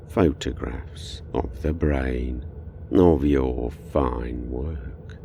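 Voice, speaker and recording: A man playing a demonic presence inside a condemned boy murderer in an audio horror drama, taunting and contemptuous with a gravelly, menacing delivery. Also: mellow, slight background noise, full character voice. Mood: bored, taunting. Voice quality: very deep, gravelly. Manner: slow, deliberate, taunting, menacing.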